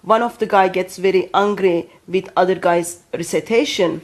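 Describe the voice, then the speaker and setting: A woman from Turkey with a Turkish accent, speaking careful, halting non-native English as she co-hosts and explains references. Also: lowish voice. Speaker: co-host. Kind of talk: explanatory discussion with read-out references.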